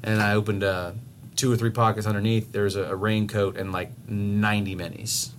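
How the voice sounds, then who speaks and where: A man co-hosting a podcast in casual chat, rambling with many restarts, swearing freely and getting animated as he tells stories. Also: fairly low pitch, normal speed, a little hiss or hum. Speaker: podcast co-host.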